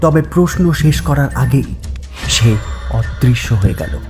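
Slow, dark-voiced male horror narrator. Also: medium pitch, animated, faint music behind. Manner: suspenseful, halting, dramatic pauses. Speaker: story narrator. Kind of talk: dramatized first-person horror story narration.

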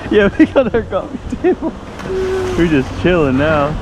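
A young man chatting excitedly and laughing as he fishes from a pier, talking in casual slang. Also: sits high, moderate pace. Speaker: fishing vlogger. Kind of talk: casual chat while fishing. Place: outdoors on a concrete bridge pier over a bay. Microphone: action camera. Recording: outdoor action-camera audio with loud wind noise. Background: wind and water.

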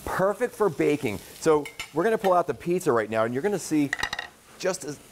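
A man's voice: on the high side, big pitch swings, fairly quick, noisy background. A middle-aged American man giving a live product demonstration in a studio kitchen as he cooks.

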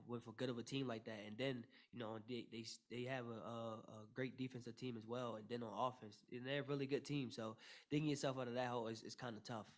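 A young male basketball player talks at a postgame podium in a middle-pitched, level voice that barely rises or falls. He answers loosely, repeating starts and leaning on "you know" as he talks into a table mic in a press room. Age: young adult. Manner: flat, steady delivery full of "you know" fillers and repeated starts. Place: press room with logo backdrop. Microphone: table mic in front.

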